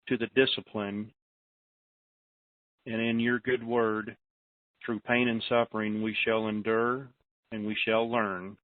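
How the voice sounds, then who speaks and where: Male call host, deep and unhurried, halting humble prayer, dry muffled line. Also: no echo. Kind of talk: informal spoken prayer. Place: conference call. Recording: muffled, band-limited conference call line.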